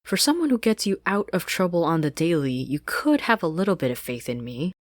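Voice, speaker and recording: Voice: mid-range voice, sing-song, brisk, no echo, clean studio sound, pitched above the performer's own voice, natural voice. A narrator voicing a bossy, proud teenage girl with a scoffing, demanding manner, in a fan fiction reading. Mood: scornful, irritated.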